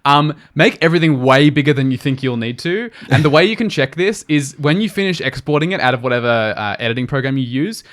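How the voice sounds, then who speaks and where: A man's voice: medium pitch, fast, few pauses, a bit bright. A man, co-hosting a podcast and sharing practical tips in a chatty, emphatic way. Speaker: podcast co-host and audio editor.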